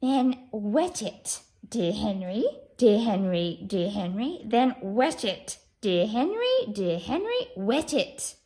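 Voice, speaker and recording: A woman's voice: mid-range voice, big pitch swings, takes it slowly, few pauses, dark tone. Woman playing a bossy, swooping storybook wife. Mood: bossy, emphatic. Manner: emphatic, swooping, repetitive sing-song replies. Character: exasperated, bossy woman Liza in a children's story.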